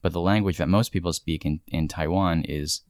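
A man's voice: low-pitched, fairly even intonation, brisk, a little mellow, no room sound, clean studio sound. A man leading a casual group conversation, reflective and asking the others questions. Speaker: conversation leader.

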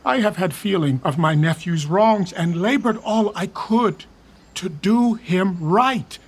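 An actor playing a weary royal uncle in a Shakespeare radio drama, speaking verse in a measured, declamatory way. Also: male voice, high voice, slightly rough, exaggerated voice. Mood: stern. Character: aging royal uncle, weary and torn, reluctantly neutral. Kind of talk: Shakespearean stage acting for radio.

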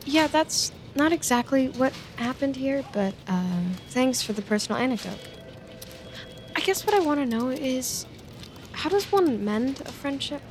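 An actress playing a needy, clingy young office worker in a scripted audio drama, hesitant and stammering. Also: female voice, high-pitched, animated, unhurried, slight background noise. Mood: glum. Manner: hesitant, stammering, eager to please.

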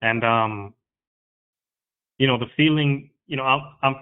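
A man in his thirties from New York, a guest speaking earnestly and haltingly about something that moves him. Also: medium pitch, smooth. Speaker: interview guest. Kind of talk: candid interview talk. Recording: tinny phone-like line.

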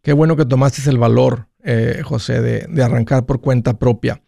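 A man's voice: mid-range voice, steady tone, normal speed, creaky, warm tone, no echo, studio quality. A man hosting a call-in advice show, talking in a folksy, conversational way. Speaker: host of a personal finance call-in show.

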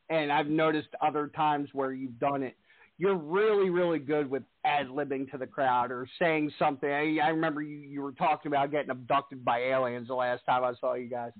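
Interview host, male, rambling questions, tinny phone-line quality. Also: a little high. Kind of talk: casual interview.

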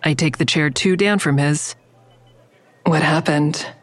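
A woman's voice: deep voice, measured pace. An actress playing an anxious, earnest crisis counselor, telling her story in a hesitant, introspective first-person style for an audio drama. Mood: gentle, hesitant.

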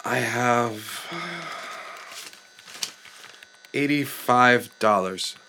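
A man's voice: medium pitch, takes it slowly, sharp tone, a little hiss or hum. A man playing a meek, bewildered everyman in an audio comedy drama, polite, hesitant and pleading. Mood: dismayed.

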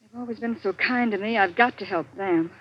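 An actress playing a worried, stubborn young married niece in 1940s radio suspense, earnest and dramatic. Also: female voice, medium pitch, a little fast, lightly acted. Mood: worried, puzzled. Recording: muffled, noisy old radio recording.

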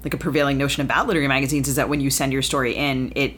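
Woman podcast host, strikingly low pitch, halting and thoughtful delivery. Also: fairly even intonation, fairly bright tone, a little hiss or hum. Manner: hesitant, many "um"s and restarts. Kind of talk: interview questions.

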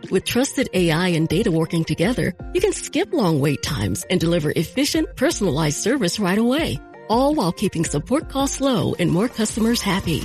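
A woman reading a scripted advertisement in an animated promotional manner. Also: lowish voice, a little fast, faint music behind. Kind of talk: scripted ad read.

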